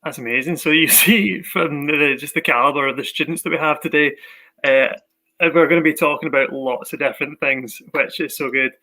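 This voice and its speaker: A man hosts a live online Q&A in a bright, forward voice, pitched a little high for a man. He sounds upbeat and enthusiastic, leaning on fillers as he moves between the panel. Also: a little fast. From Glasgow area, Scotland. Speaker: host of a university Q&A.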